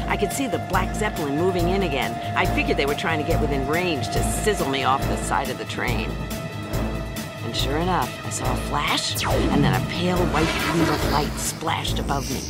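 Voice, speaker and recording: A cool, wry heroine telling her own sci-fi adventure for radio. She is matter-of-fact and deadpan, with very loud music and engine sounds behind her. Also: low-pitched. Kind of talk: first-person radio drama narration.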